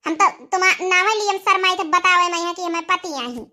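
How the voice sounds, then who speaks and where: A woman's voice: very high-pitched, a little fast, bright, forceful tone, exaggerated voice. A woman voicing a haughty young teacher wife in a comic cartoon, curt and dismissive, with an Awadhi accent. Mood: scornful. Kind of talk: comic village cartoon dialogue.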